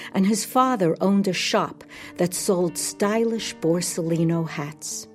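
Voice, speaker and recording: Mellow woman narrating over soft music. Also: on the low side. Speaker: podcast host. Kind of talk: scripted narration.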